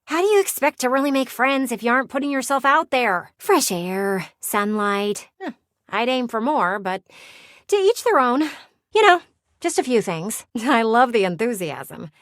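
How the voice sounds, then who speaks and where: An actress voicing a playful, sardonic living window in a video game, with a teasing, theatrical and blunt delivery. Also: female voice, fairly high pitch, very animated, a bit bright, dry recording, clean studio sound. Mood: condescending, teasing. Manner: teasing, theatrical, blunt, sighs and drawn-out words. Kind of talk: video game character voice acting.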